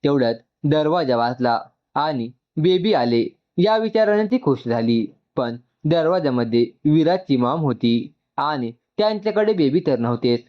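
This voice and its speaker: A man's voice: a little high, lively intonation, moderate pace. Male storyteller, slightly dull lo-fi recording. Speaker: story narrator. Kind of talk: scripted narration of an emotional story.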